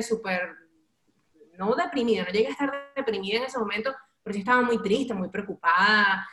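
A Venezuelan woman telling personal stories candidly as an interview guest, in an expressive, colloquial and confiding way. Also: medium pitch. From Valencia, Venezuela. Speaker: interview guest, radio and event host. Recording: roomy, echoing sound.

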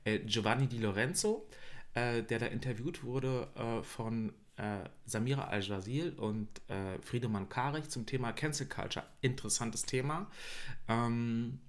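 A man in his thirties from East Berlin, co-hosting a casual podcast chat and pausing often on fillers. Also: medium pitch. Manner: hesitant, many fillers. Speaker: podcast co-host.

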